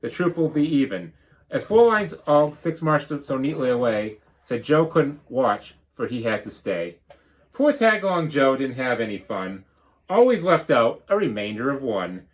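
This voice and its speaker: Male storybook reader, teacher-like, rhythmic, highly animated intonation. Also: unhurried. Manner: rhythmic rhyme reading, dropping into quiet explanatory asides. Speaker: teacher reading a picture book aloud. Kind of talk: animated read-aloud storytelling with asides.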